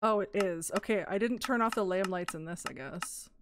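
A young woman casually commentating her Minecraft let's play, excitable and gushing over cute animals, a little hoarse. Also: mid-range voice, normal speed, background noise. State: slightly hoarse. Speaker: gaming YouTuber.

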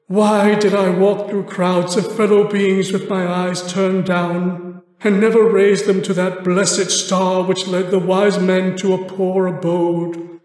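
A man voicing a tormented, mournful ghost in chains, declaiming slowly with anguish. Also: high voice, little pitch movement, darkish tone, voice pushed up. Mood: lamenting. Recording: very echoey, long reverb.

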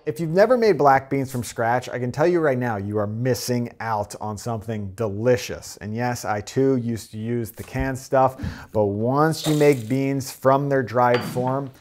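A man's voice: medium pitch, a little slow, a little mellow. A man in his thirties hosting a casual cooking tutorial in his home kitchen, upbeat and chatty as he explains each step into a lapel mic. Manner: upbeat, conversational, explains as he cooks. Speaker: cooking channel host.

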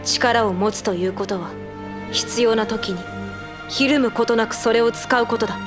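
A woman's voice: fairly high pitch, normal speed, music in the background, lightly acted. A woman voice actor playing a cool, resolute warship captain with a terse, commanding delivery in a military audio drama. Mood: firm, unyielding. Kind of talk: Japanese anime-style military radio drama acting.

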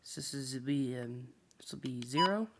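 A very noisy home recording with clicks and electronic beeps carries a man's mid-pitched voice. He talks casually to himself in short, clipped fragments as he narrates a hands-on task. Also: animated, moderate pace, creaky. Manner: muttering to himself, reading out digits in short fragments. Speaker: hobby video maker. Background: loud noise, button clicks and electronic beeps.

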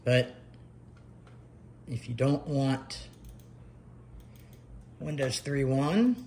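Middle aged guy doing casual vlog show and tell, mid pitch, stop-start talking, bit of room noise. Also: lively intonation, darkish tone. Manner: halting, pausing mid-sentence. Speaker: retro computer vlogger. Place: dining room at home, indoors.

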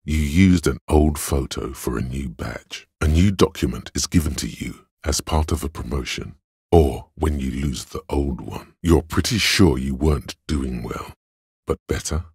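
An actor voicing a cool, analytical inner voice of logic in a detective game, narrating in a measured, dryly wry way. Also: male voice, extremely low pitch, darkish tone, breathy edge, no room sound, clean studio sound, exaggerated voice. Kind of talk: scripted second-person game narration.

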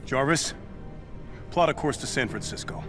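An actor playing an earnest, resolute captain of a superhero team in a video game, terse and commanding. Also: male voice, mid-range voice, normal speed, music in the background, close to an everyday voice. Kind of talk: video game cutscene dialogue.

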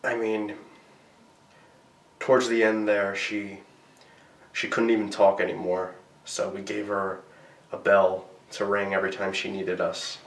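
A man's voice: lowish voice, flat delivery, unhurried, pauses often. A young man playing a subdued, hesitant friend in a naturalistic amateur found-footage film. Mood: downcast, hesitant. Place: small wood-panelled room indoors. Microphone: camera mic at a distance. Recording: echoey, noisy camcorder sound, distant mic.

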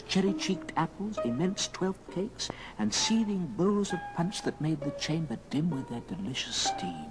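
Expressive male storyteller over music. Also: a little high. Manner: theatrical, measured phrasing. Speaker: narrator. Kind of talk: dramatic scripted storytelling, old radio. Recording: old record, music behind.

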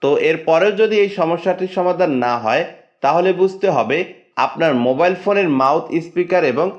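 A man narrating a step-by-step phone settings tutorial in a plain, steady instructional tone. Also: on the high side, brisk. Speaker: tech tutorial host. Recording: dull lo-fi voice-over.

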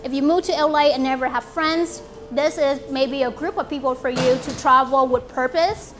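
A woman in her late twenties from Vietnam with a Vietnamese accent, speaking earnestly in halting English with fillers as she hosts a small gathering in an apartment lounge. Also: high-pitched, a bit bright. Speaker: event host and company founder. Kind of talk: informal speech to a small group. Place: bright apartment lounge, indoors.

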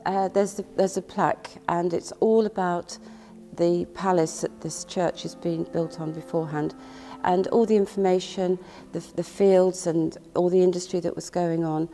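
Woman explaining, halting, mellow voice. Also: fairly low pitch, fairly even intonation, faint music behind.

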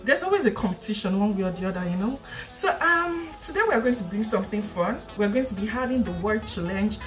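A woman's voice: medium pitch, animated, fast talker, fairly bright tone, soft background music. A young woman from Nigeria hosting her channel, chatting casually and laughing often, recorded indoors in a living room by a camera a short way off. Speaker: channel host. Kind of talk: casual chat.

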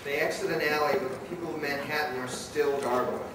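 In a very echoey hall, a man narrates a scripted radio play. His voice is fairly high and picked up from a distance, with clear noise around it. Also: steady tone, fast talker, a bit bright. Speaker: narrator and director. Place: live convention stage reading. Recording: very echoey hall, far mic, noisy.

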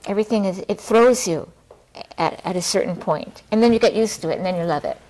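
A middle-aged woman chatting casually as an interview guest, talking in a halting way and circling back on her thoughts. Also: medium pitch, mellow, poor audio. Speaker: theater director, talk show guest. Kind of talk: casual studio interview chat. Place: TV studio, seated at a table.

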